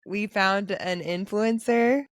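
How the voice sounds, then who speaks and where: A woman's voice: medium pitch, takes it slowly, no room sound. A woman hosting a podcast in a casual chat, teasing her guests and laughing often. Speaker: podcast host.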